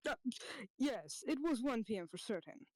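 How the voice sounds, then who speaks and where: A woman's voice: a little high, animated, normal speed, very noisy, close to an everyday voice. A woman playing a nervous, evasive male witness in an amateur courtroom game dub, stammering and politely apologetic. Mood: flustered. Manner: flustered, stammering.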